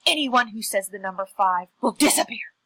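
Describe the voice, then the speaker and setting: Woman playing a bitter old curse-layer, forceful. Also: medium pitch, expressive, no room sound. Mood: menacing. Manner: slow, emphatic pronouncements.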